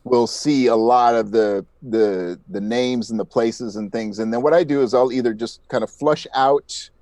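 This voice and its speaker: A man's voice: mid-range voice, normal speed, darkish tone, no room sound. A man talking casually as an interviewed podcast creator.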